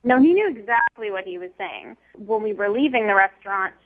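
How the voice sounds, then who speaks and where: A woman's voice: mid-range voice, lively intonation, fairly quick, a crowd in the background. A woman on a radio phone-in, speaking bluntly and indignantly in a heated conversational way about a date. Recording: dull, band-limited phone call on radio.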